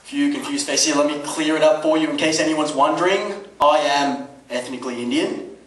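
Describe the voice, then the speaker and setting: A man's voice: on the high side, steady tone, brisk. Guy doing standup in a club, chatty and sweary, echoey room, bit of noise behind him. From Dubai. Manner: conversational, punctuated with rhetorical questions and swearing. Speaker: stand-up comedian. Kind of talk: stand-up comedy routine. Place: comedy club stage. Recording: roomy, distant mic, noisy club recording. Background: audience laughter, background noise.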